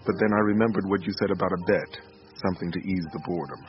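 A man's voice: sits low, fast talker, natural voice. An actor playing an earnest, remorseful father and husband in a radio drama. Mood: solemn. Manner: earnest, sincere. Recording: muffled radio recording, loud music behind.

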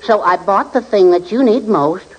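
An actor playing a timid, henpecked middle-aged husband, hesitant and deadpan in old radio comedy style. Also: male voice, sits high, moderate pace, breathy edge, highs cut off. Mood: mournful deadpan. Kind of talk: 1940s-50s radio comedy acting. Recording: noisy old radio recording.

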